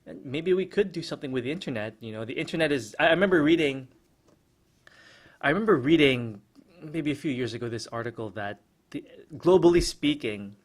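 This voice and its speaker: A man's voice: a little high, animated, fairly quick. Hesitant man musing on a podcast. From Philippines. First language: American English first language. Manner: hesitant, many restarts and fillers, reflective. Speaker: podcast host. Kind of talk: casual solo podcast commentary.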